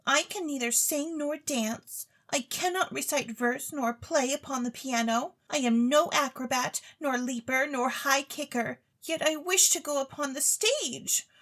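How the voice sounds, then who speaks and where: Woman putting on a high-pitched gushing young society lady. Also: a little slow, hardly any pauses, a bit bright. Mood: earnest pleading. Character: gushing, vain, wealthy young lady, stage-struck and dim-witted.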